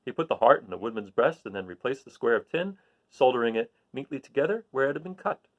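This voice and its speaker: A man reading a storybook aloud as an expressive narrator. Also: mid-range voice, fast, dull audio. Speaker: storybook reader.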